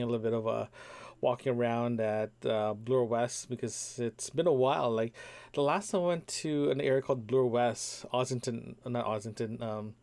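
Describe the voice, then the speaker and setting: A man's voice: mid-range voice, creaky, darkish tone. A young man from Toronto, Canada, recording a casual audio diary into a microphone, rambling with many ums, restarts and repeated words. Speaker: podcast host. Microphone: single microphone.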